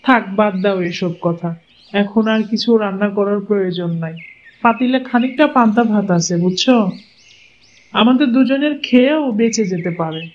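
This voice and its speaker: A man's voice: high voice, muffled recording, close to an everyday voice. A man voicing a practical, forbearing old village wife in a cartoon, homely and matter-of-fact. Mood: resigned, practical. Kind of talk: cartoon story dialogue.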